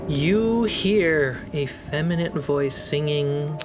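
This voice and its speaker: A game master with a mid-pitched voice narrates a tabletop adventure, describing the scene in casual phrases. Music plays clearly behind the narration. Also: takes it slowly.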